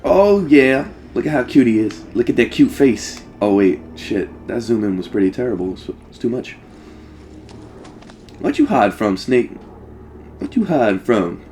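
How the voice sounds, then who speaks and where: A man's voice: mid-range voice, very animated, a little slow, a little mellow, a little hiss or hum. A man hosting a Let's Play, giving casual, jokey game commentary with exclamations and swearing.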